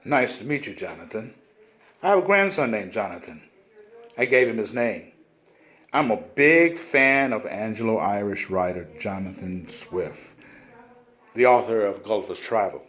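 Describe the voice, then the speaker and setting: A man's voice: lowish voice, animated, unhurried, fairly bright tone. A man reading the part of a genial, bookish older film director at a script table read.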